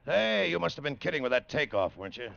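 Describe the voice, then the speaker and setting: Gruff but fond american colonel, old radio play, clipped orders, muffled vintage sound. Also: male voice, on the high side, big pitch swings, fast talker, a bit bright, exaggerated voice. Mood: teasing, watchful. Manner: clipped, slangy commands. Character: gruff, fond male colonel running flight control. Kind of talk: 1950s American radio drama acting. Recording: dull vintage radio recording.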